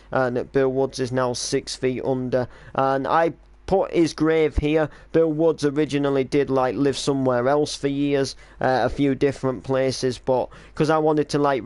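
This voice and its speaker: A man's voice: mid-range voice, a little mellow, clean tone, dry recording. A man reminiscing casually on a stream as the creator of an online drama, explaining storylines in an informal, rambling way.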